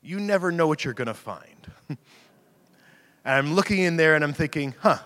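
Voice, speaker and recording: Male preacher, casual and self-deprecating, slight rasp, medium pitch, big reverberant church sound. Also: fairly bright tone. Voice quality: slightly raspy from screaming into a mic. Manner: chatty, self-deprecating, laughs easily. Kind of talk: casual conversational sermon. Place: church sanctuary. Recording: long hall reverb.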